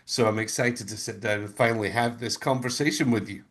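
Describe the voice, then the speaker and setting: A man's voice: lowish voice, steady tone, a little fast, a bit bright. A man hosting a podcast who interviews his guest in an upbeat, encouraging way. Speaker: podcast host. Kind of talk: casual interview.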